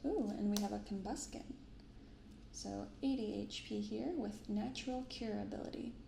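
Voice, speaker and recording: A soft-spoken woman hosting an ASMR unboxing, reading and commenting gently and without hurry. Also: medium pitch, normal speed, creaky, reverberant, background noise. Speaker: card unboxing video host. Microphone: binaural microphone close up.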